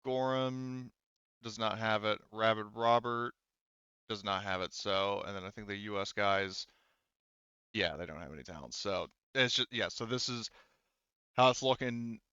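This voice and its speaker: Guy game mastering online, smooth lowish voice, kinda halting, thinks out loud, dry mic. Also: fairly even intonation, dry recording, highs cut off. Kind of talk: running an online tabletop roleplaying game, casual.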